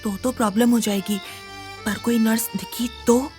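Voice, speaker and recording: An actress playing an anxious, naive young woman in an audio drama, speaking haltingly and repeating her words. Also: on the high side, steady tone, darkish tone, natural voice. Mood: fretful. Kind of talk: Hindi audio drama acting. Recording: music under the voice. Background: background music.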